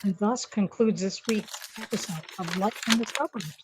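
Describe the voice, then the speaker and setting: A woman's voice: mid-range voice, moderate pace. A woman reading scripted narration for a radio comedy. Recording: noisy audio with clicks.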